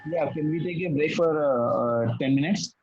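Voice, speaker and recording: A male physics tutor, his voice a touch high for a man. He repeats key phrases and keeps asking if his student follows. The call audio is muffled and lo-fi with a faint hiss behind it. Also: moderate pace, creaky, darkish tone. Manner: patient, repeats key phrases, checks understanding with "isn't it?". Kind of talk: one-on-one tutoring session.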